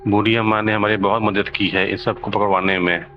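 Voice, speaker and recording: A man playing a calm, earnest village headman who addresses villagers in a measured, moralizing way in a children's cartoon. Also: on the low side, lo-fi sound, background noise, close to an everyday voice. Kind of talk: Hindi children's cartoon dialogue, speech to villagers.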